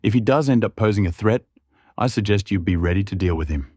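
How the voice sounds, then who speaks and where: A man's voice: lowish voice, animated, fairly quick, dark tone, slightly rough, no echo, clean studio sound. A man voicing a tall, crooked, shrewd man in a fantasy story, guarded, probing and serious. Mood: grave, guarded.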